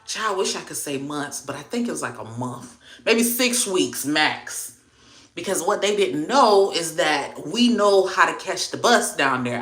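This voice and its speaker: Young Haitian American host from Miami, low voice, chatty and slangy; echoey room. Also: female voice, fairly bright tone. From Miami, Florida. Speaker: livestream host. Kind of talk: casual livestream chat reading viewer comments.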